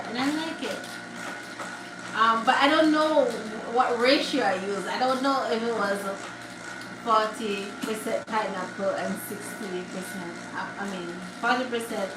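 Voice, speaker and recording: Jamaican woman, young adult, unhurried live-stream chat, roomy echo, distant from mic, noisy background. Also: fairly high pitch. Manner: chatty, laughs often, thanks viewers warmly. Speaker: livestream host.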